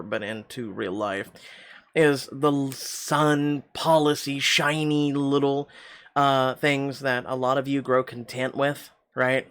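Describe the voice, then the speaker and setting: A man's voice: mid-range voice, a bit bright, clean tone. A middle-aged man with a slightly tight throat, reading a story game aloud and rambling with wry asides and many fillers. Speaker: game channel host.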